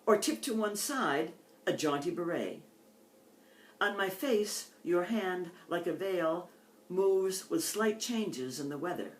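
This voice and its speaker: The voice is an older woman's, with the careful phrasing of a poet at a reading. She speaks in a measured, deliberate way. The sound is strongly echoey, with the room clearly heard around her. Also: on the low side, lively intonation. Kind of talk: poetry reading with informal introductions.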